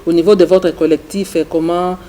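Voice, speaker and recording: A male TV host asking formal, measured questions in a studio interview. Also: fairly high pitch, level tone, dark tone.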